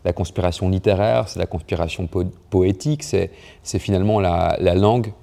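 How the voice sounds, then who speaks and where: A man's voice: sits low, a little fast, vocal fry, dark tone. A young man, a writer from France, talking about language in an interview, hesitant with many restarts and fillers. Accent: neutral Parisian French. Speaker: writer and poet.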